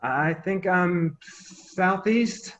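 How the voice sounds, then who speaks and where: A man's voice: sits high, drawn-out words, a bit bright, muffled recording, a little hiss or hum, voice pushed up, full character voice. A man acting as a panicked, foul-mouthed scout, stammering frantically as he reports in. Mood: nervous. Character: panicked, foul-mouthed young male scout on walkie-talkie.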